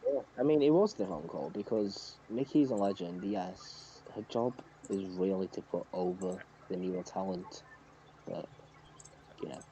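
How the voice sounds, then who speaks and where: A man's voice: low-pitched, a little slow, dark tone. A man co-hosting a wrestling podcast in casual talk over a muffled, noisy voice call. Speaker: podcast co-host.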